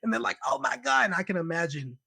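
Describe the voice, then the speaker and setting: A man from Alabama with a slightly raised voice, chatting as a guest over a video call. He tells his stories in an animated way, laughing often and breaking into excited quoted voices. The sound is dry and close. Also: sits high, quick pace, vocal fry, no echo. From Birmingham, Alabama. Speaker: guest, concert composer. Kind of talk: casual conversation.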